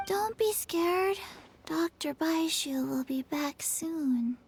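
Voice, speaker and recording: A woman's voice: very high voice, slow, heavily acted. An actress voicing a small female snake spirit in a video game, wry and soothing. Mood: gentle.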